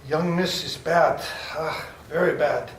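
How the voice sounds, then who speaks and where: A man playing an anxious elderly professor and doctor in a live radio play, speaking in halting, formal phrases. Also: on the high side, fairly even intonation, slight background noise. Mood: grave, worried. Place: library hall with live audience. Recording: roomy hall sound from far off.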